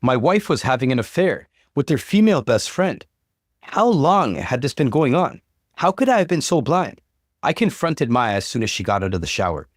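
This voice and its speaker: A man narrates a personal story in a scripted, dramatic style. His medium-pitched voice moves expressively up and down. The sound is dry, with very little room around it. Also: moderate pace, no room sound. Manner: expressive, acts out dialogue. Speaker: narrator reading relationship stories.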